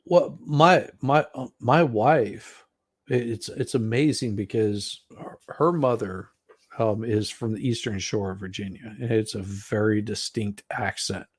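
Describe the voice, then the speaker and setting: An animated man with a gentle Southern American accent. He chats casually with friends on a stream, laughs easily and often restarts his sentences mid-thought. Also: fairly low pitch, unhurried, husky, dry recording, clean studio sound. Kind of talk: casual chat.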